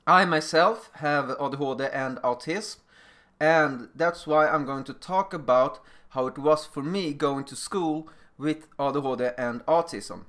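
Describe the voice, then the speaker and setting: A man's voice: fairly high pitch, measured pace, fairly bright tone. A man from Sweden with a Swedish accent, a non-native speaker giving an informal presentation as a teacher in plain, slightly non-fluent phrasing. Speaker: middle school teacher giving a lecture. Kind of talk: informal recorded presentation with personal stories.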